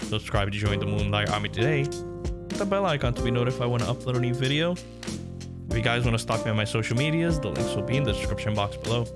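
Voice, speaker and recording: A man's voice: medium pitch, quick pace, loud music behind the voice. A man hosting his own channel, giving a casual, upbeat and grateful outro as a voice actor. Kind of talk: casual YouTube outro chat.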